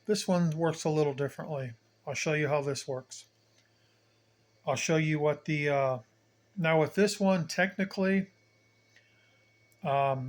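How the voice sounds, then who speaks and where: Man with a higher-pitched voice explaining step by step. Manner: plain-spoken, step-by-step explaining, repeats key points. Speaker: hobbyist demonstrator, channel host. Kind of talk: hands-on equipment tutorial, unscripted demonstration.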